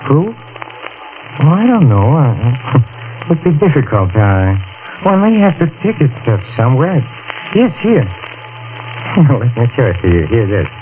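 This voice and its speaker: An actor playing an anguished, guilt-ridden killer in an old-time radio drama, halting and repeating himself. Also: male voice, medium pitch, very animated, over music. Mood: panicky, furtive. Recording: noisy, tinny vintage radio broadcast.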